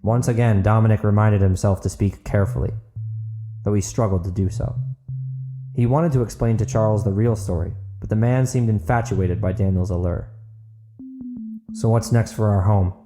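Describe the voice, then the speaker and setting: Lower-pitched male audiobook narrator. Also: brisk, background noise. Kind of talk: scripted dramatic story narration.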